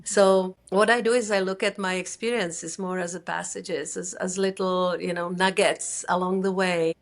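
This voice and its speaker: A middle-aged woman with a Czech accent, a native Czech speaker and executive coach, talking thoughtfully as an interview guest and sometimes pausing to find English words. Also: lowish voice, fairly even intonation. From Czech Republic. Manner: thoughtful, searching for words, careful phrasing. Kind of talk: reflective interview conversation.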